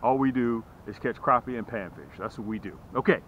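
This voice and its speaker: A man hosting a fishing channel, giving a casual, folksy sales pitch to camera and repeating phrases for emphasis. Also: brisk, dull audio, a little hiss or hum. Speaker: fishing channel host and tackle seller.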